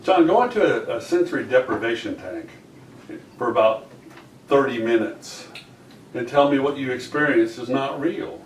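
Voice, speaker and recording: A man's voice: mid-range voice, expressive, moderate pace. A man arguing insistently in an informal group debate. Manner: argumentative, insistent. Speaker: workshop participant. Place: indoor room, recorded from a distance. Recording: echoey room, distant mic, faint noise.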